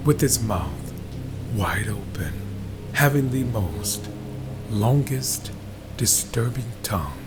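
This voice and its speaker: A man reading scripted horror stories in a dramatic, deliberate way, with phrases that often trail into a hush. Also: lowish voice. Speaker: horror story narrator. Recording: background music behind voice. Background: music.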